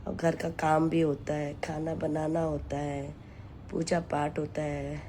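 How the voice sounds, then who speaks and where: Hoarse woman talking slowly from home. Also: low-pitched, level tone, warm tone, a little hiss or hum. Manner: slow, weary, sighing between lines. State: hoarse, sore throat. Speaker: vlogger and home tailor. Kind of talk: casual chat to camera. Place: at home, taking steam.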